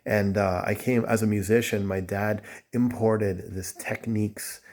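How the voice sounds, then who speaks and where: A man's voice: lowish voice, fairly even intonation, unhurried, darkish tone. A man from Jamaica, talking in a rambling, digressive way as he chats to the camera in a casual vlog. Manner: rambling, digressive, self-promoting. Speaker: vlogger, music school owner.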